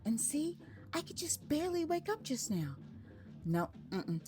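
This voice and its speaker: An American woman narrating her own memoir as a dramatized first-person story, conversational and expressive, acting out moments as she goes. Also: high-pitched, unhurried. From United States. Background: music.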